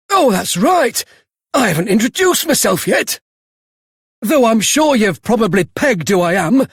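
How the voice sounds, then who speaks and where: An actor playing a genial, self-important, eccentric older monster caretaker in a video game, with a husky voice. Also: male voice, extremely high pitch, no room sound, clean studio sound, exaggerated voice. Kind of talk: theatrical video game voice acting.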